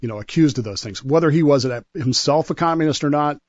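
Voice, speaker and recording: An American man talking through history as a radio commentator, explaining in a measured way and often repeating himself haltingly. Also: fairly high pitch, dry recording, studio quality. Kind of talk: conversational radio discussion.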